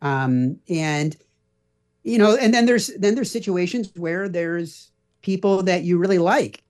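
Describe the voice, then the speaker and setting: A man's voice: high voice, a little slow, darkish tone. A middle-aged man answering as a podcast guest in an earnest, explanatory, conversational way. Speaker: podcast guest, parenting blogger.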